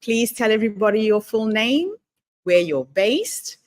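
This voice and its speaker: A woman's voice: fairly high pitch, expressive, a little slow. A woman hosting a podcast, giving an upbeat, sing-song scripted welcome and introducing her guest. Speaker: podcast host.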